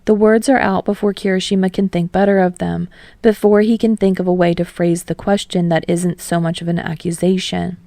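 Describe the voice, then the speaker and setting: A woman's voice: on the low side, little pitch movement, a little fast, creaky, dark tone, no echo, clean studio sound. A woman reading scripted fiction aloud as an audiobook narrator.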